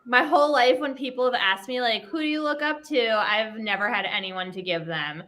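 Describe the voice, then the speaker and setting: Excitable woman podcast guest, higher voice. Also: brisk. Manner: excitable, laughs often. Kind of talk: casual podcast chat.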